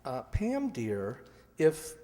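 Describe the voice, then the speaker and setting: A wry, exasperated husband acted by a man grumbles in a light radio drama. He speaks slowly with lively swings in pitch, in a reverberant hall. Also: full character voice. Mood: weary, sarcastic. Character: grumbling, sarcastic but affectionate husband. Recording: reverberant hall stage recording.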